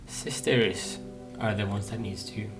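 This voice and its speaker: A man's voice: on the low side, steady tone, measured pace. A man giving casual playthrough commentary, thinking aloud in a hesitant, musing way. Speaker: gaming video host. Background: game music.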